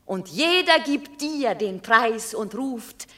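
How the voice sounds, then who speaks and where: A woman's voice: on the high side, animated, slow, a bit bright. An actress playing a noble Roman mother in a classical verse radio drama, grave, formal and imploring but unyielding. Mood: insistent appeal.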